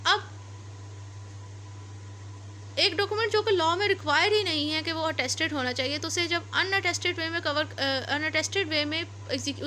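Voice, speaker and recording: A woman's voice: high voice, sharp tone, slight background noise. A woman with a Pakistani accent giving an explanatory law lecture, repeating and rephrasing points step by step. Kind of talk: explanatory lecture mixing read-out English legal text with Urdu explanation.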